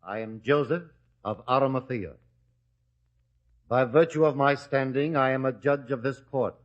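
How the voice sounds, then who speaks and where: A man acting a principled judge of high standing, defiant and declamatory. His mid-pitched voice comes through a dull, lo-fi radio drama recording. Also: moderate pace, lightly acted. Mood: firm defiance. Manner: firm, declamatory.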